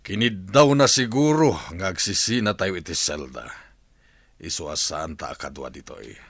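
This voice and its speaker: A man's voice: sits low, normal speed, dry recording. A man acting in an Ilocano radio drama as a hot-tempered, crooked village husband who grumbles and curses in exasperation. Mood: bitter, frustrated.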